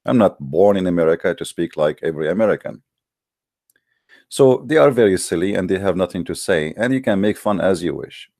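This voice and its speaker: Man, non-native English speaker, very low voice, dry close sound, combative host, emphatic, halting. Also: expressive, gravelly, darkish tone, no echo. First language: not English. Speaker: online debate host. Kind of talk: addressing viewers before taking calls.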